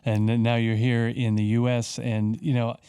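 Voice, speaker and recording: A man's voice: mid-range voice, little pitch movement, dark tone, smooth, no room sound. A man hosting a radio show, asking questions in a friendly, conversational way with small restarts. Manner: friendly, conversational, slightly halting. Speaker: radio host. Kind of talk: radio studio interview. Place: radio studio.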